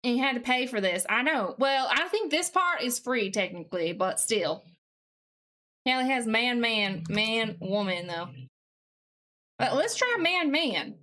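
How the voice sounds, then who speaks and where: A woman's voice: a little high, lively intonation, measured pace, sharp tone. A woman with a put-on Southern US accent, chatting casually as a live streamer in a folksy, mildly sweary way while working at a computer.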